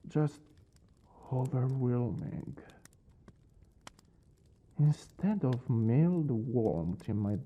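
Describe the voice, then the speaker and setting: A man acting a brooding vampire lord, aloof yet secretly caring, in a soft, breathy ASMR roleplay that often drops toward a whisper. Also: on the low side, lo-fi sound, heavily acted. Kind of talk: ASMR roleplay, intimate dramatic monologue.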